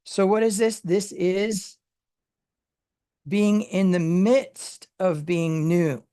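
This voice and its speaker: A Bible study teacher who explains word meanings in a halting way, with many fillers and restarts. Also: mid-range voice, steady tone, dark tone, clean tone, no echo, clean studio sound.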